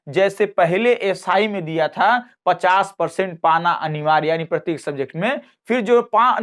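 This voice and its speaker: A man's voice: high-pitched, a bit bright. A man teaching an online exam lesson, repeating phrases and checking with short questions as he explains.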